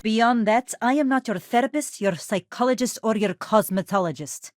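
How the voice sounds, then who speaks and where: A woman's voice: on the high side, moderate pace, no room sound, studio quality, close to an everyday voice. An actress playing a brusque, weary female space station doctor in a video game, curt and sardonic. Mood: curt, irritated.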